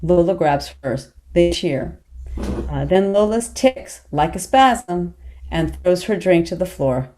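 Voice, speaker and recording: Woman, low voice, soft dark tone, screenplay narration, table read. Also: lively intonation, slow pace, a little hiss or hum. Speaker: stage directions reader.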